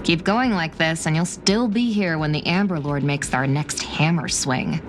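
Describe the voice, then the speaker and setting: An actress playing a strict, impatient office supervisor in a video game, curt and scolding. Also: female voice, sits low, moderate pace, over music, close to an everyday voice.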